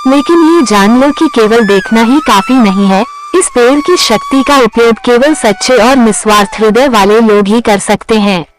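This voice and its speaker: A narrator plays an ancient-feeling magical tree from a storybook, wise and solemn. It speaks gravely, giving instruction, with music softly behind. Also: normal speed, hardly any pauses, lightly acted, rough recording. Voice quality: deep.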